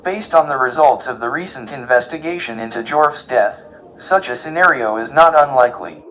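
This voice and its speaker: A man plays a courteous robot in a sci-fi comedy podcast. The speech is formal and precise, kindly in temper, at a medium pitch with faint noise behind. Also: normal speed, sharp tone, close to an everyday voice, rough recording. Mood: polite, formal. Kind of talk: comedy audio drama acting.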